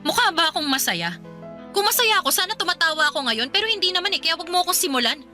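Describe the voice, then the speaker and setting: An actress playing an exasperated older sister in a Filipino radio drama, blunt and scolding with a caring streak. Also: female voice, extremely high pitch, big pitch swings, fast talker, pushed and bright, exaggerated voice. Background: music bed.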